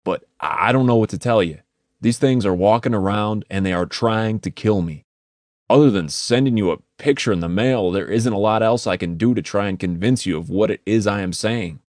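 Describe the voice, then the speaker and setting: A man doing a frantic, shouting man. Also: low voice, normal speed, no room sound, clean studio sound. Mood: frantic, yelling. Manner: shouting, urgent, swears. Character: frantic man warning family of rising dead. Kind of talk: audiobook character voice.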